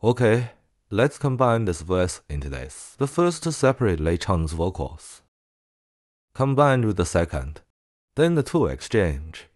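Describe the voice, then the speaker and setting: A man presenting a software tutorial in a casual way. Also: fairly low pitch, big pitch swings, measured pace, no echo, studio quality.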